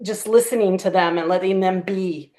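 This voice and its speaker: Female guest, mid-pitched, animated storyteller in casual chat, voices bits of remembered dialogue. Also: normal speed. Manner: animated, acts out quoted exchanges. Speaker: guest, audio drama writer and director.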